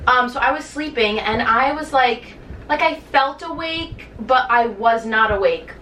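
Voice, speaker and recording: A woman's voice: on the high side, a little slow, fairly bright tone. A young woman co-hosting a casual podcast, chatty and giggly, with lots of "like". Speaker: podcast co-host. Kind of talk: casual chat. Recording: echoey room, mic at a distance, faint hiss.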